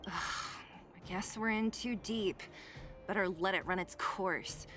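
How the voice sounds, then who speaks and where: A woman's voice: mid-range voice, takes it slowly, fairly bright tone, faint music behind. An actress voicing a blunt, sarcastic young female fighter in video game dialogue. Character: tough, exasperated young female underworld fighter.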